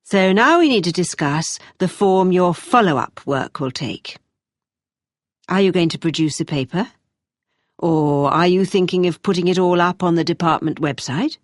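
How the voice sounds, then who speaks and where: A woman acting as a brisk, encouraging but exacting British university tutor, giving measured, emphatic feedback in a scripted listening-test dialogue. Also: lowish voice, no echo, clean studio sound, close to an everyday voice. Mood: engaged, probing.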